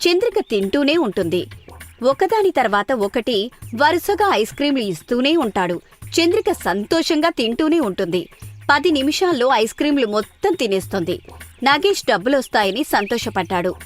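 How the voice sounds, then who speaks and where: A woman with a high voice tells a scripted story for children as a narrator. Faint music plays behind her voice. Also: very animated, moderate pace.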